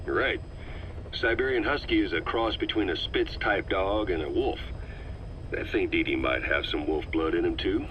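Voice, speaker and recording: An actor playing a seasoned, shrewd soldier mentor in a video game, speaking in a measured, assured drawl. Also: male voice, low voice, animated, muffled recording, a little hiss or hum. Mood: calm, proud. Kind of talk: video game voice acting, scripted dialogue.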